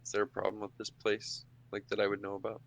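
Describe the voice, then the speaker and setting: Low-voiced man playing a tabletop game. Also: completely flat delivery, fast, gravelly, low-quality recording. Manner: muses aloud, breaks off and restarts. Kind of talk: casual improvised game chat.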